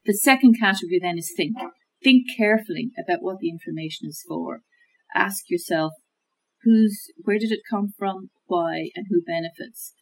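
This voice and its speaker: A woman talking through how to check information, in a measured, thoughtful way with many fillers and restarts. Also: medium pitch, darkish tone, slightly rough, rough recording. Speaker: guest expert on media literacy. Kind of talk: conversational explanation.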